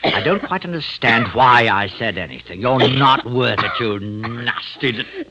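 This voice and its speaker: An actor playing a nervous, spiteful doctor who poisons in secret, in a hesitant, mannered vintage radio suspense style. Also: male voice, mid-range voice, expressive, measured pace, sharp tone, slight background noise. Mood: gloating spite. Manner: hesitant, mannered, theatrical, muttering and gloating. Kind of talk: old-time radio suspense acting and first-person narration. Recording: vintage radio drama recording, music behind.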